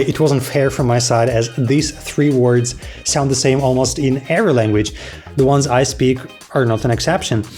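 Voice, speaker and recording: A young man talks straight to camera in a relaxed, playful vlog, jumping now and then into mock astonishment. His voice sits at an ordinary middle pitch, and soft music plays quietly underneath. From Belarus. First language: Belarusian. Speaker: video creator. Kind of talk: casual vlog talk to camera.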